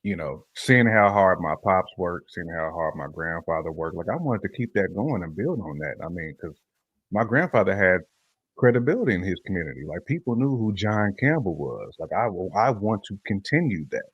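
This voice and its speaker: Very deep-voiced man chatting casually. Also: very animated, moderate pace, slightly rough, muffled recording. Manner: informal, colloquial, laughs while talking. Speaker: talk show panelist. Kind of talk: casual opinionated chat.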